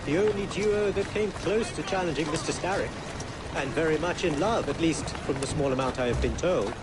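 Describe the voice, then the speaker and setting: An actor playing a dignified, shrewd exiled Indian king in a video game, speaking in a formal, measured, courtly way. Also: on the high side, very noisy, lightly acted. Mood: gentle, curious. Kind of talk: video game cutscene acting. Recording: loud music behind the voice.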